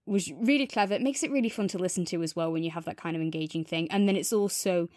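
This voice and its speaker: A woman's voice: fairly low pitch, fast talker, darkish tone, no echo, clean studio sound. A woman casually discussing a review as a podcast co-host.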